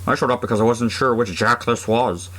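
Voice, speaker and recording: A man's voice: medium pitch, fairly even intonation, a little fast, fairly bright tone, natural voice. An actor playing an abrasive, cynical man at a funeral in an audio drama, curt and foul-mouthed. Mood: irritated, dismissive. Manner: curt, profane, dismissive.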